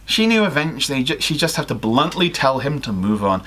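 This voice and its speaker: Young man, highly varied pitch, expressive amateur storyteller with dramatic emphasis. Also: a little fast. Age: young adult. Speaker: guest reader on a livestream. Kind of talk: expressive fan fiction story reading with casual asides. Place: small home room with sloped ceiling.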